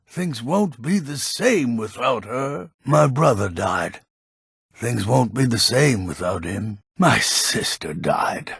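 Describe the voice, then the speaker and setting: An actor playing a grumpy old male villager who keeps a potion shop in a fantasy video game, with a gravelly, husky voice and a gruff manner. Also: mid-range voice, lively intonation, takes it slowly, fairly bright tone, no echo, clean studio sound, exaggerated voice. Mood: mournful. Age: older. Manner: gruff, curmudgeonly. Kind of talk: video game character lines.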